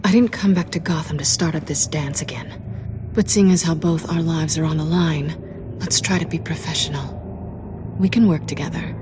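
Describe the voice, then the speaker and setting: A woman's voice: low-pitched, normal speed, background noise, close to an everyday voice. A woman playing a cool, self-assured female thief in a video game, with a dry, teasing, guarded way of talking. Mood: guarded, defiant. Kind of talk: naturalistic video game acting.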